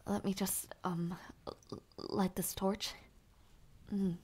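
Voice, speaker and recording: Woman acting a slow, hesitant young noblewoman knight. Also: mid-range voice, little pitch movement, breathy edge. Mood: concerned, soft. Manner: soft, hesitant, halting speech. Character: young noblewoman and aspiring knight, gentle, shy, protective. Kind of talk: intimate audio roleplay acting.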